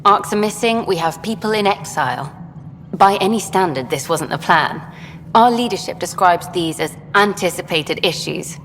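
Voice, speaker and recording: An actress playing an eager, idealistic documentary journalist in a video game, brisk and frank. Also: female voice, on the low side, animated, a bit bright. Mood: probing, pleased. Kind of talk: video game dialogue acting. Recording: long hall reverb, faint noise.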